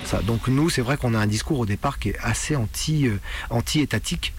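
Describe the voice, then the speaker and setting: A man's voice: medium pitch, a little fast. A man reflecting in a documentary interview, searching for words and repeating small words as he goes. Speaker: punk musician interviewed for a radio documentary. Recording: music playing under the voice. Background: music.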